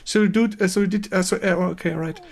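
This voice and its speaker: A woman with a German accent and German as first language, hosting a casual livestream interview in halting, giggly English as she searches for words. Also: lowish voice, steady tone, vocal fry, a little mellow, soft background music. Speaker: stream host.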